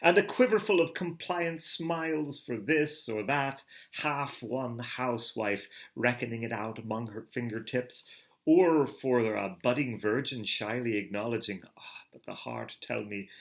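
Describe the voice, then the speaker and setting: A man, a theater director, reading a classic novel aloud with an expressive, theatrical delivery. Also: mid-range voice, a little slow. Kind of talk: dramatic literary reading.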